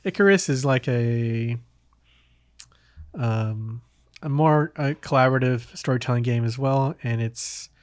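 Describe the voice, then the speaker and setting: A man's voice: mid-range voice, a little slow, a little mellow, no echo. Man chatting casually, close and dry. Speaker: podcast host. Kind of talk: casual podcast chat about games.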